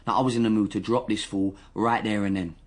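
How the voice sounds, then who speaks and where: Actor as husky, low-voiced cocky gang lad. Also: male voice, fairly even intonation, fast, close to an everyday voice. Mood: bragging, irritated. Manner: streetwise slang, indignant and self-justifying. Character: cocky, defensive young male gang member being interrogated. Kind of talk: naturalistic radio drama dialogue.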